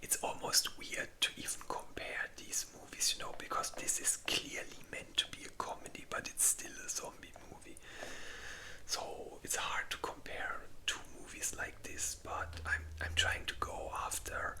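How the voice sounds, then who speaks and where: An ASMR creator whispering in a breathy, unvoiced voice, chatting informally and thinking aloud with some hesitation. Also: moderate pace. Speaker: ASMR video creator and host. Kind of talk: whispered ASMR, casual rambling chat.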